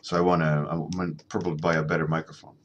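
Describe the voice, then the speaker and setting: A man in his thirties from Sweden with a deep voice, chatting casually and rambling as he streams himself drawing, answering his chat at a desk microphone. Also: flat delivery, dull audio, a little hiss or hum. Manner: rambling, halting, many ums and restarts, chuckling, answering chat. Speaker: artist streaming a drawing session.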